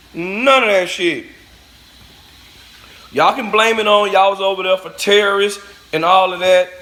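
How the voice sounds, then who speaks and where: High-voiced man ranting bluntly. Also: sharp tone, low-quality recording. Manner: blunt, profane, preachy, talks straight at the viewers. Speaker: vlogger. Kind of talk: ranting monologue to camera.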